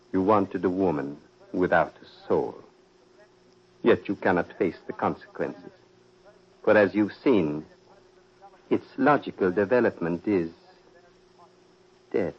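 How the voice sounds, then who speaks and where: An actor playing the Devil as a suave, sinister prince, unhurried and mockingly polite, in the manner of 1940s radio mystery. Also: male voice, low voice, slightly rough, heavily acted. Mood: coolly menacing. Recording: dull old-time radio recording.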